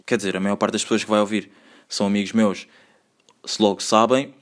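Rambling young Lisbon-accented man, low voice. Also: level tone, a little fast. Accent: Lisbon Portuguese. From Lisbon, Portugal. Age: 20s. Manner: rambling, slangy, full of filler words. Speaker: podcast host. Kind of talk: solo casual podcast monologue.

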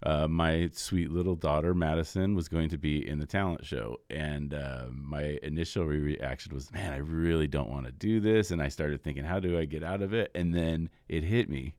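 A man who is a stand-up comic and radio co-host, telling a rambling story in casual studio chat with a deep voice. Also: normal speed, hardly any pauses, a little mellow, no room sound. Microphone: studio microphone.

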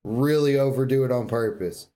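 A man chats as a podcast co-host in a slangy, laid-back way. His voice is soft-edged and mellow, at an average pitch. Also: moderate pace. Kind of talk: casual, slangy banter.

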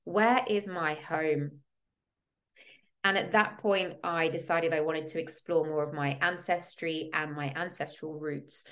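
A woman in her thirties from the UK speaks in a low, grounded voice as she hosts her own podcast. She tells her life story in an earnest, reflective way, and her delivery lifts when she reaches the more emotional moments. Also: steady tone, measured pace, fairly bright tone. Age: 30s. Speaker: podcast host, women's coach. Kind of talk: personal storytelling monologue.

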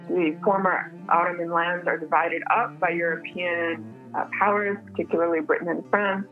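A woman born in the United States explaining history in a measured lecture style, with occasional fillers. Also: fairly low pitch. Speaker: interviewed scholar. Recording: tinny phone-like line, faint music.

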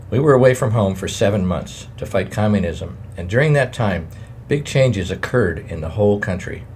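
A man reading a wartime memoir aloud in a plain, measured way, adding his own editor's notes. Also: sits low, darkish tone, a little hiss or hum.

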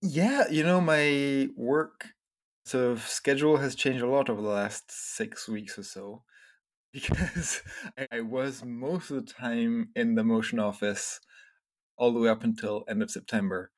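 A man in his thirties who grew up in France, talking as an interview guest in a relaxed, reflective way, full of "you know" and "like". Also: mid-range voice, unhurried. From Scotland, grew up in France. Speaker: podcast guest, entrepreneur. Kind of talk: casual interview.

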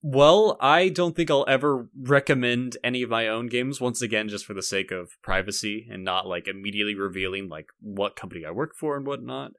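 A man's voice: medium pitch, a little fast, hardly any pauses, fairly bright tone, no room sound, clean studio sound. A man co-hosting a podcast in casual chat, rambling and often cutting his own sentences off to start again. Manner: rambling, self-interrupting. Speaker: podcast co-host.

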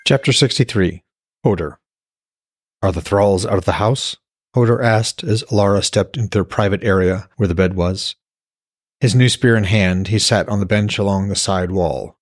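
A man with a deep voice narrates scripted fiction. His tone has a husky grain, and the sound is dry and close, as in a solo audiobook reading. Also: no room sound. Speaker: podcast host, author reading his own novel.

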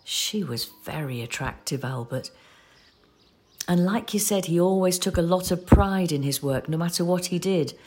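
Low, husky, patient companion. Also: female voice. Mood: gently prompting. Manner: gentle, measured, explanatory. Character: patient, sorrowful female companion of a forgetful old man. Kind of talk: audio play acting.

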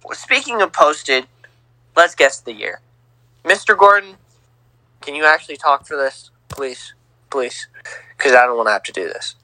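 Animated young man hosting sports talk. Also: a little high, moderate pace, long pauses, no room sound, rough recording. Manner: lively, teasing, animated. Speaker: podcast co-host. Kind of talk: casual sports podcast banter.

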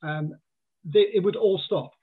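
A man's voice: high voice, measured pace, breathy edge. Welshman with a mixed accent on a tinny line. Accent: mixed Welsh and southern English. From Wales. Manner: chatty, many restarts and acted quotes. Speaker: podcast guest. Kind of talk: casual chat.

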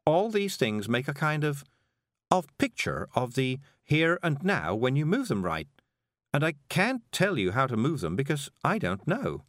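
A man's voice: fairly high pitch, sing-song, unhurried, a bit bright, no room sound, studio quality, pitched above the performer's own voice. Guy doing a nice patient witch lady for an audiobook, voice raised, cheery and explaining. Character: cheerful, patient older witch woman, gentle mentor. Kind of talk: audiobook character voice.

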